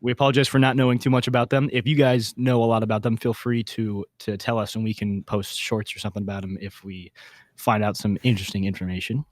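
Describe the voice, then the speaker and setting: A man with a fairly low voice hosts a casual podcast chat. His talk is informal and enthusiastic, full of slang. The sound is dry and close, with hardly any room in it. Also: little pitch movement, quick pace, no room sound. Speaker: podcast host.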